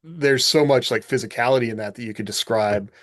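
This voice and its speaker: A man's voice: mid-range voice, fairly quick, no echo, clean studio sound. A man co-hosting a podcast in a loose, informal chat full of conversational filler phrases. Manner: informal, peppered with "like" and "you know". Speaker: podcast co-host. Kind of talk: casual chat from personal experience.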